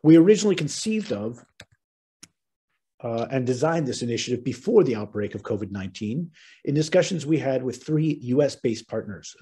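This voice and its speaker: A middle-aged American man opens a webinar, speaking in an earnest, measured tone with the odd "um" and restart. His voice is husky and mellow, and it comes through a video-call microphone. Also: medium pitch. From United States. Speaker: webinar host and moderator. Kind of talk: formal opening remarks.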